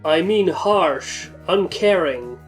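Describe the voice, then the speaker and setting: A woman's voice: fairly low pitch, expressive, slow, faint music behind. A woman voicing a stern, stoic pony who questions and disapproves, as part of a story reading. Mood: disapproving, puzzled.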